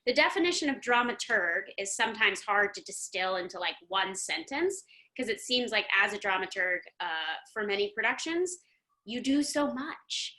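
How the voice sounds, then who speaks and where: A woman hosting a live online interview in an effusive, excited, chatty manner over a video meeting. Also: mid-range voice, normal speed. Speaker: host.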